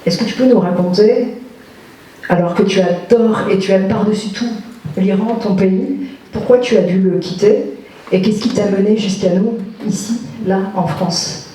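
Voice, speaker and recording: A woman presenting a poetry event, reading a poem aloud and then putting a question to the guest in a slow, measured way. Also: medium pitch, mellow. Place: municipal lecture hall. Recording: echoey hall from far off.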